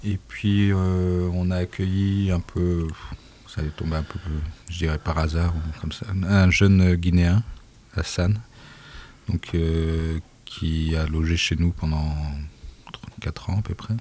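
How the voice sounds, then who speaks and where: A man who volunteers with a migrant aid group, speaking softly and haltingly in a casual radio interview, with many fillers. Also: deep voice, flat delivery, slight background noise. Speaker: association volunteer.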